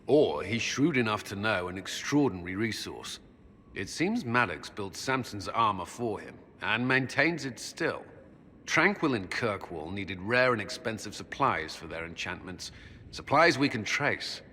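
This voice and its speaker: A stern, earnest commander from a fantasy game, in a lowish male voice. He speaks gravely and formally, in measured phrases. Clear background noise sits behind the voice. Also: lively intonation, sharp tone. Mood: grave, explaining. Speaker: army commander. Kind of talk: dramatic video game dialogue.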